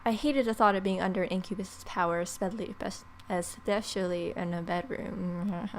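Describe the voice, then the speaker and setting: A woman's voice: on the low side, normal speed, slight background noise. A young adult woman reading a visual novel aloud as a let's play host, with playful, wry asides.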